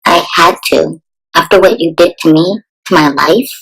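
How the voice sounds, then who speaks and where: A woman's voice: on the low side, moderate pace, fairly bright tone, rough recording. An actress playing a wistful, heartbroken small-town sweetheart, telling her story in a dramatic motion comic style. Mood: wistful, wounded.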